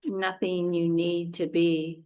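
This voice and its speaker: A woman teaching yoga nidra, guiding a meditation in a soothing, unhurried way with short, spaced phrases. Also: lowish voice, little pitch movement, warm tone, clean tone. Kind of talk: guided relaxation meditation.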